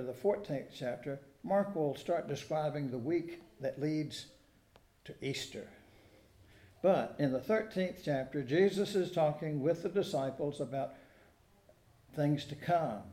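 A man preaching a sermon and reading scripture in a measured, explanatory way, with deliberate phrasing. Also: a little high, warm tone. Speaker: preacher at a church service. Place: indoors in a church. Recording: roomy, echoing church sound.

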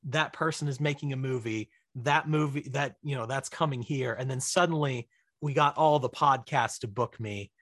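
A man's voice: medium pitch, normal speed, fairly bright tone, no room sound, clean studio sound. A man talking casually as a guest in a podcast interview, explaining things chattily with plenty of asides. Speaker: interview guest, writer-director.

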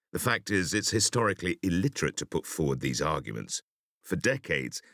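A man reading an interview for an audiobook in a measured, articulate and emphatic way. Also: rumbling low, creaky, no room sound, clean studio sound. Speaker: audiobook reader.

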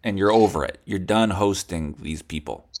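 Low-voiced man, casual and teasing. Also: moderate pace. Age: adult son of the other speaker. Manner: teasing, profane, encouraging. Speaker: podcast host. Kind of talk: casual joking chat.

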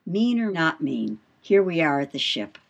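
A woman's voice: sits low, very animated, unhurried, gravelly, dull audio, exaggerated voice. A woman playing a brash, boastful male sailor in an audiobook, with salty, swaggering speech and emphatic questions. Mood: defiant, boastful. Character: brash, boastful male whaling mate telling devil yarns. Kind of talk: dramatised audiobook dialogue.

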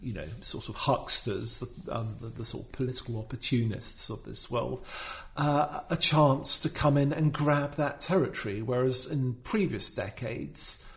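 A man at mid pitch talks through political ideas on a discussion podcast. He thinks aloud as he speaks, stopping and restarting often and filling his pauses with ums. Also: creaky, a bit bright. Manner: thoughtful, hesitant, many fillers and restarts. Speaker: podcast guest, writer. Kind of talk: casual discussion.

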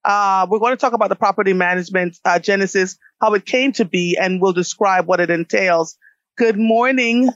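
A woman's voice: mid-range voice, normal speed, no echo, muffled recording. A woman from Jamaica hosting a radio show, interviewing a guest in a conversational way.

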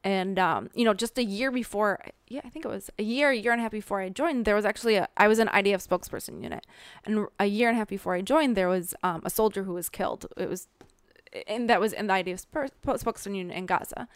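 A woman from the American Midwest with a Minnesota accent, an author answering interview questions thoughtfully, with frequent pauses and restarts. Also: mid-range voice, clear, resonant tone, no echo, studio quality.

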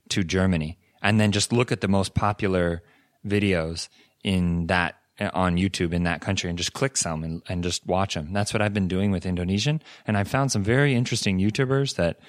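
A man's voice: low voice, dry recording, clean studio sound. A young man co-hosting a podcast in a casual chat, earnest and encouraging, often restarting his sentences. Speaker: podcast co-host.

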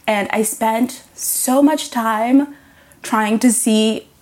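A woman's voice: a little high, fairly even intonation, drawn-out words, a little mellow. A young woman from Zimbabwe, a podcast guest chatting casually, with lots of "like" fillers. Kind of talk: casual interview chat.

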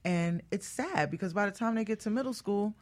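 A woman's voice: medium pitch, brisk. A woman speaking as a guest hairstylist, explaining her trade in an emphatic, conversational way.

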